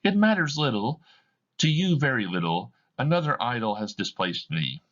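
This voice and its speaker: A man's voice: mid-range voice, very animated, moderate pace, fairly bright tone, highs cut off, voice pushed up. A man voicing a grieving young woman who speaks to her former love with bitterness and reproach. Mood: hostile.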